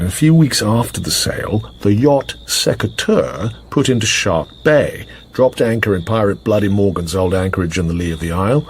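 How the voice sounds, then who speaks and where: Male intelligence officer for radio drama: genial, expressive, conversational briefing, faint noise. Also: on the low side. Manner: conversational, musing, with hesitant asides. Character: chatty, genial British intelligence chief briefing a colleague.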